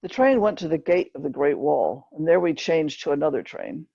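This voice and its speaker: Woman reading from a book, low-key husky creaky voice, kinda dull echoey room recording. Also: very animated, moderate pace, warm tone. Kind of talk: scripted narration, book reading.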